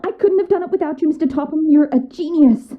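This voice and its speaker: A woman doing a high, eager, gullible society lady. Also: fast, lightly acted. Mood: excited. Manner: breathless, eager. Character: gullible, excitable wealthy woman student. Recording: tinny phone-like game audio.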